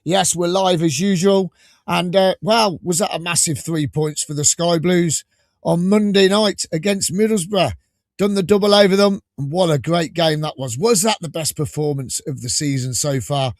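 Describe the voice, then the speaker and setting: A man hosting a live fan podcast, enthusiastic and rambling, a little croaky from a cold. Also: fairly high pitch, normal speed, no echo, studio quality. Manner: enthusiastic, rambling, laughs often. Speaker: podcast host.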